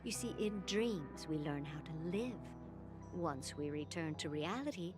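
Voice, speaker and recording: An actress playing a youthful, idealistic dreamer in a game, speaking earnestly and reflectively. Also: female voice, low voice, animated, unhurried, music in the background. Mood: hopeful, wistful. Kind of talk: scripted game dialogue.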